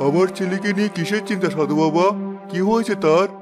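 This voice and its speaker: A man voices a fairy tale king who is both commanding and anxious for his family. His voice sits a bit high for a man. Music plays behind. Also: fast talker, natural voice. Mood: concerned. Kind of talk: fairy tale animation voice acting.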